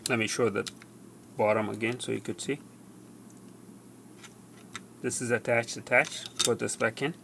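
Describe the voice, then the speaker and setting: A man with a creaky voice of average pitch talks through a hands-on repair tutorial. He goes step by step, haltingly, often repeating himself. Faint background noise sits under the recording. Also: steady tone, fairly bright tone. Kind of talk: hands-on how-to demonstration.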